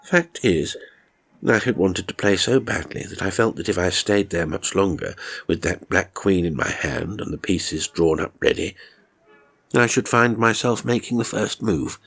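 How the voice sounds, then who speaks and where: A man's voice: sits low, normal speed, a bit bright, husky. A man reading a first-person horror story as scripted narration, dramatic and suspenseful in his delivery. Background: music.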